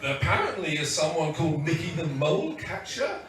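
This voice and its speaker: Hoarse man speaking at a launch. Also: a little high, sing-song, a bit bright. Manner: halting, many restarts and fillers, wry asides. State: hoarse, losing his voice. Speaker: guest speaker at a book launch. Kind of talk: informal launch speech. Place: event hall with audience. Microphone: PA microphone, heard from a distance. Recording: echoey hall recorded from far off.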